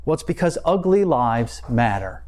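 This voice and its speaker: A man's voice: mid-range voice, sing-song, normal speed, darkish tone. A man preaching a scripted sermon in formal, erudite language, with wry asides. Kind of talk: scripted sermon, read like an essay.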